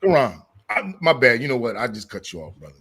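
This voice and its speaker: A man's voice: mid-range voice, big pitch swings, fast, a bit bright, slightly rough, no room sound, clean studio sound. A man hosting a casual sports debate, talking in an emphatic, repetitive, slangy way. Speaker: boxing show host.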